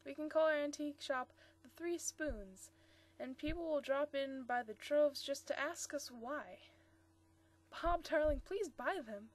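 A woman's voice: high-pitched, animated, normal speed. A woman reading the part of a playful, eager young woman who gushes and teases. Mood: gushing. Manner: gushing, bubbly.

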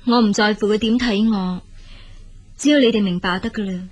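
An actress playing a burdened, sensible adult daughter in a radio drama, patient yet reluctant, reasoning earnestly in Hong Kong Cantonese. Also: female voice, medium pitch, steady tone, fairly quick, close to an everyday voice. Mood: aggrieved.

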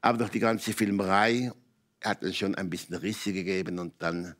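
Older Swiss German man with deep voice. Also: normal speed, fairly bright tone. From Switzerland. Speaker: talk show guest. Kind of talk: candid personal storytelling in conversation. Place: TV talk show studio with audience.

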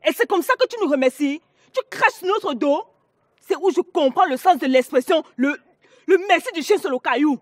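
Actress as scornful young cameroonian sister, really high voice, accusing, dry sound, family drama. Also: female voice, lively intonation, moderate pace, no room sound. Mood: indignant, accusing.

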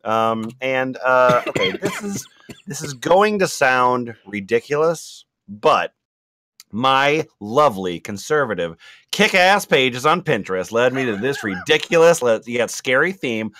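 A man reading posts aloud for comedy in a halting way, with casual asides and amusement in his delivery. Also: medium pitch, sing-song, pushed and bright, a little hiss or hum. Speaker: reader and host on a comedy podcast.

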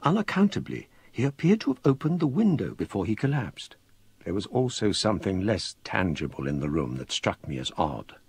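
An actor playing a scholarly gentleman narrating his ghost story in measured, grave, literary style. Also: lowish voice, very animated, vocal fry, breathy edge, no echo, exaggerated voice. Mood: grave, haunted. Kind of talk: dramatic scripted narration.

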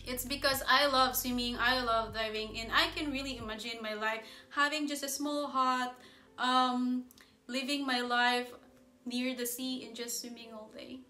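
A woman's voice: on the high side, fairly even intonation, measured pace, bright, forceful tone, echoey room. A young woman from the Philippines with a Filipino accent, cheerfully answering viewer questions in a casual vlog from her living room. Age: young adult. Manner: cheerful, giggly, friendly. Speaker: vlogger. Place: living room, sitting on a sofa indoors.

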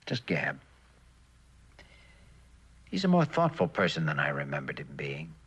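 A man's voice: rumbling low, huge pitch swings, unhurried, a bit bright, breathy edge, lo-fi sound, heavily acted. An actor playing an earnest, weary husband devoted to his work and evasive about it, in a mid-century television drama. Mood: tired, casual. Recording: noisy old television drama soundtrack.

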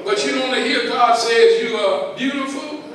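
An older man with a Southern US accent preaching a sermon as pastor, in a drawn-out call-and-response style, into a handheld mic in a large church. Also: very high voice, fairly bright tone, a little hiss or hum. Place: large church sanctuary with congregation. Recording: very echoey church hall, heard from a distance. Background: congregation calling back.

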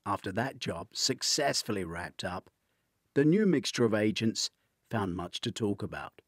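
An older man reads his own thriller as an author-narrator. His voice is low and rough-edged rather than smooth. The sound is dry and close. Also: big pitch swings, measured pace, dry recording.

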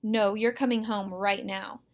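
A scared, protective boy, acted out by a woman narrator, urgent and insistent, blurts out his words in a storybook reading. The voice is raised and creaky. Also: medium pitch, steady tone, unhurried, natural voice. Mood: alarmed shouting.

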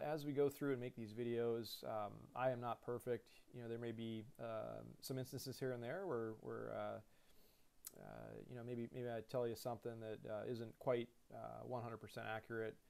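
Man, 30s, gravelly voice, airline pilot, casual tutorial, lots of fillers, desk recording. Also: medium pitch, moderate pace. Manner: relaxed and conversational, heavy with fillers and restarts. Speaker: airline pilot giving a cockpit tour. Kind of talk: casual screen-share lesson. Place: indoors at a computer.

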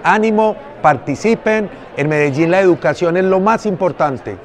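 A man's voice: sits high, moderate pace. A middle-aged Colombian man, an official, making a public appeal to camera. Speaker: education official. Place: large indoor exhibition hall.

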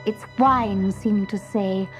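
A woman reading a scripted children's story in an expressive, deliberate manner, hushed at times. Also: mid-range voice, over music. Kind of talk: scripted dramatic story narration.

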